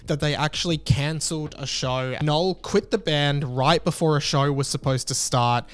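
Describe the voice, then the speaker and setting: An Australian man talks casually as a music vlogger. His voice sits a little high for a man and comes across clean and resonant. He is informal, emphatic and full of opinion. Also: moderate pace, clear, resonant tone. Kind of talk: casual commentary to camera.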